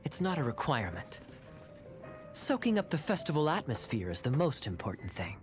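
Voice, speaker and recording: A man voicing a smooth, teasing male knight captain in a video game dub. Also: a little high.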